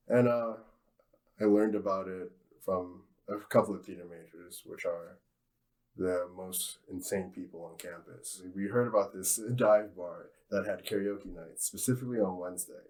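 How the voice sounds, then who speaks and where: A man's voice: sits low, darkish tone. A young man telling an anecdote casually, in a chatty, jokey way. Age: young adult. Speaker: student guest, fiction genre editor.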